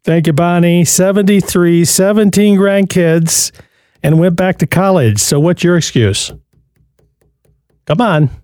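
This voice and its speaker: Male radio host, mellow dry studio voice, preachy and urging. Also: high-pitched, a little slow, no echo. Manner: preachy, urging listeners to call.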